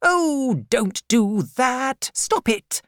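A woman voicing a stern, brusque older aunt who gives orders in a slow, emphatic manner while reading a storybook aloud. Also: a little high, very animated, dry recording, studio quality, pitched above the performer's own voice, heavily acted. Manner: brisk, bossy, slowed and emphatic.

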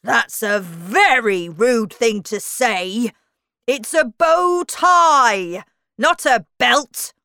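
A woman voicing a pompous, touchy, boastful male egg in a storybook, speaking with slow, indignant emphasis. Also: medium pitch, expressive, fairly bright tone, smooth, no echo, clean studio sound.

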